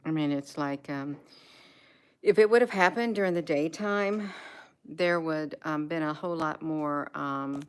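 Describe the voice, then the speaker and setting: American woman, low register, casual home vlogger, unscripted and repetitive. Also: a little slow, clear, resonant tone. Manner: repeats words and phrases for emphasis. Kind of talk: casual rambling chat, showing gadgets. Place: indoors at home.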